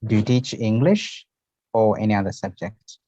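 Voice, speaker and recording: A man's voice: on the low side, lively intonation, moderate pace, warm tone, dry recording. A man hosting an interview, asking short questions and giving brief prompts over a video call. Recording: muffled lo-fi audio.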